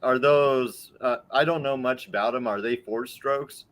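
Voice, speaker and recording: A man's voice: medium pitch, measured pace, fairly bright tone. A man co-hosting the show, joking and asking curious questions in casual chat. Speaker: co-host.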